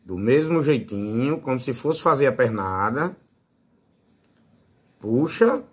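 A man's voice: medium pitch, lively intonation, slow pace, slightly rough. A man with a Northeastern Brazilian accent giving a casual, informal hands-on tutorial as a fishing channel host. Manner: informal, fond of diminutives.

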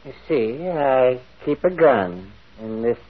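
An actor playing a stolid, self-assured vault keeper in an old radio drama, clipped and matter-of-fact. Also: male voice, mid-range voice, expressive, slow. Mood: firm, guarded. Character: terse, stolid male vault keeper, sure of himself. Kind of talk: 1940s radio acting. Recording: muffled, phone-like old radio recording.

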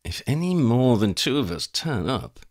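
A man's voice: mid-range voice, sing-song, normal speed, pitched above the performer's own voice. A man voicing a stubborn young male wizard hero in an audiobook reading, brash and defiant. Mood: determined.

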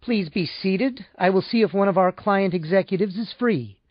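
Man playing a formal, high-voiced female receptionist. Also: moderate pace, dry recording, lo-fi sound. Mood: polite, efficient. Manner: formal, scripted courtesy. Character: polite, efficient female office receptionist.

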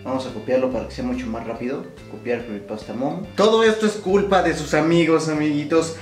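A man's voice: on the high side, quick pace. Playful Mexican young man, roomy sound. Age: young adult. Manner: playful, slangy, repeats himself. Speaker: YouTube prankster host. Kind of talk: casual vlog chat to viewers. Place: small indoor room with shelves. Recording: roomy echo, light music behind.

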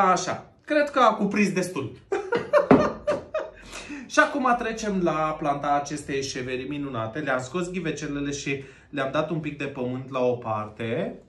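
A man in his thirties hosting a playful pretend cooking show, laughing a lot and stretching out his gushing praise. His voice sits a little high for a man. He is recorded by a camera a few feet away in an echoey room at home. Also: fairly bright tone, a little hiss or hum. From Romania. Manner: jokey, laughs often, drawn-out gushing words; busy with his hands while talking. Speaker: host of a plant arranging show. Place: home room with plant wallpaper.

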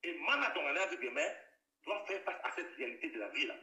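A bright, sharp-toned man, his high voice swinging widely as he presses each point. He keeps repeating himself and hedging with air quotes. The sound comes from a roomy space, set back from the mic. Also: animated, fast. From Bamenda, Cameroon. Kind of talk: video call discussion. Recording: roomy echo, distant from the mic.

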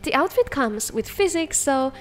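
A woman's voice: high voice, animated, normal speed. A woman hosting a video, reading scripted showcase narration. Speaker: video host. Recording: faint music under the voice.

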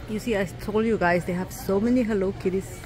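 A young woman chatting casually and excitedly to her phone camera while shopping and then eating out. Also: medium pitch, quick pace, a crowd in the background. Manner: chatty, excited, exclaims over cute things. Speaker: vlogger. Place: busy store and fast-food restaurant. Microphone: handheld phone camera. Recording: noisy public place ambience.

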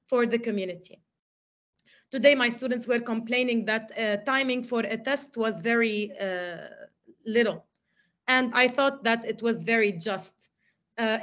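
Woman university lecturer from Lebanon, slightly high voice, reflective webinar delivery. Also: fairly bright tone. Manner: reflective, asks many rhetorical questions. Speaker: English lecturer.

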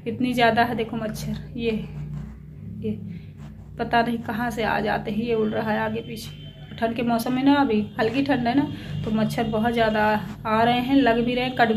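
A woman's voice: a little high, fairly even intonation, normal speed. A middle-aged woman chatting casually and confidingly to viewers at home, rambling, sounding unwell, tired and slightly breathless. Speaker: small family vlogger. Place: at home, alone. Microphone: handheld phone. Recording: clearly noisy handheld phone recording.

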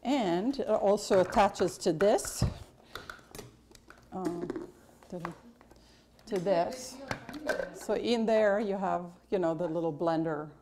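A woman's voice: medium pitch, slow, pauses often, noisy background. A middle-aged woman chef giving a casual cooking demonstration in a large kitchen classroom, chatty and explanatory with lots of fillers.

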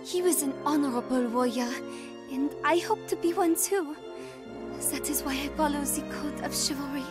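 Woman playing a high-voiced, proud young chivalrous soldier. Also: moderate pace, loud music behind the voice, close to an everyday voice. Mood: proud, earnest. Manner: earnest, formal, stately phrasing. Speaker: video game character. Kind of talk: English game voice acting.

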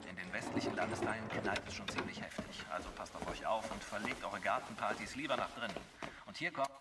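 A chummy radio host, played by an actor, with a lowish voice. He chats casually in a radio play, slightly far off under loud vehicle noise. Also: fast talker, lightly acted. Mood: breezy. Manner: chatty, colloquial. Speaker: radio presenter.

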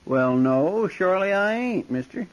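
A man's voice: high voice, very animated, a little slow. An actor playing a cheeky young male deputy with a folksy drawl in a 1950s radio drama. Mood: teasing. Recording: dull old radio broadcast.

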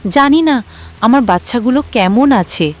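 A woman's voice: a little high, animated, a little slow, darkish tone. A woman voicing a worried but determined mother bird in a children's cartoon story.

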